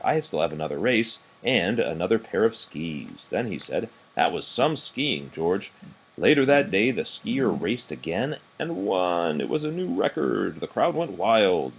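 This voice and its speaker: A man reading a children's picture book aloud in an expressive, animated storytelling way. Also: low-pitched, vocal fry, slightly rough. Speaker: storybook reader. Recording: dull, muffled lo-fi recording.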